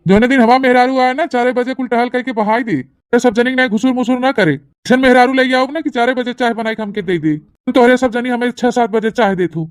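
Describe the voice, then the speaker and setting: A sulky young brother-in-law in an Awadhi cartoon comedy, played by a woman. The voice sits fairly high. He speaks with an imperious, scolding edge, forever demanding service and grumbling about it. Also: a little fast, few pauses, no echo, lightly acted. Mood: irritated, scolding.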